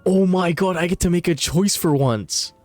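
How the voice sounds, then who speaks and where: A man's voice: high voice, moderate pace, darkish tone, no room sound. Casual man streaming games over music. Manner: blunt, teasing, slangy. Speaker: game streamer. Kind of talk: casual profane live gameplay commentary and chat banter.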